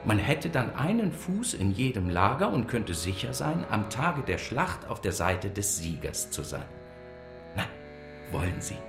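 An actor playing a sly, opportunistic intriguer in a German radio drama, coaxing in an insinuating, conspiratorial way. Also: male voice, fairly low pitch, very animated, a little slow. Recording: echoey, loud guitar music behind.

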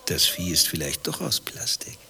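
An actor playing a cowardly, jealous married lover in a radio play, with a theatrical manner that moves between indignation and hushed pleading. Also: male voice, low-pitched, sing-song, moderate pace, vocal fry, crowd noise, exaggerated voice. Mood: subdued, hesitant. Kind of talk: dialogue acting in a radio play.

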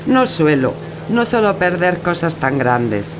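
A woman's voice: on the low side, very animated, takes it slowly. A woman playing a resolute, composed lover saying farewell in a radio play. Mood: resolute, wistful. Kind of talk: radio theatre acting. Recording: music and engine noise behind.

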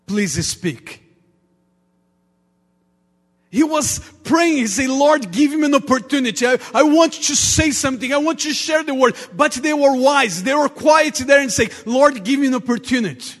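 A man with a Portuguese accent, a native Portuguese speaker, preaching a sermon earnestly in halting English with repeated words. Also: very high voice, a bit bright. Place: large reverberant church room. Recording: reverberant hall with long echo.

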